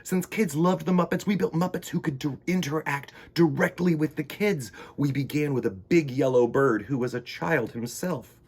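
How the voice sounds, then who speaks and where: A man reading a children's picture book aloud in the first person, theatrical, sometimes dropping into hushed emphasis. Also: fairly high pitch, normal speed, few pauses, husky. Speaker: children's storytime reader.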